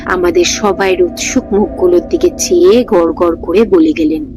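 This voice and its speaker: A woman host narrating a ghost story in a steady, unhurried reading voice. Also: fairly low pitch, poor audio. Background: background music.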